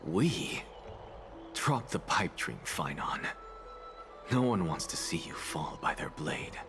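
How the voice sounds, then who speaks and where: A man playing a stern, aloof professor in an anime-style game, speaking in a dry, dismissive, deliberate way. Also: sits low, animated, over music, full character voice. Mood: grave, dry.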